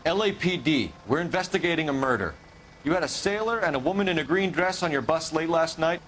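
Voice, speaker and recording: Earnest, lively-pitched police detective. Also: male voice, a little fast, slight background noise. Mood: businesslike. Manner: clipped, formal, procedural. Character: earnest, official young male police detective. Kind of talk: 1940s crime drama acting.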